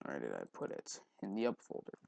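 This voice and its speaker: A man hosting a casual, unscripted screen tutorial, haltingly repeating words and muttering to himself, recorded on a headphone mic at his neck. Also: extremely low pitch, huge pitch swings, vocal fry, a little mellow, no room sound. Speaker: tutorial host. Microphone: headphone mic held at the neck.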